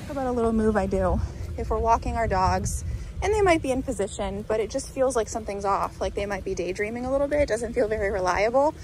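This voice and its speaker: Woman dog trainer, a touch high-pitched, teaching on the move outdoors with clear, chatty explanations; noisy street recording. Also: fast talker, darkish tone. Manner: talking while walking a dog. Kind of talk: instructional walk-and-talk. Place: outdoors by a creek in a town center.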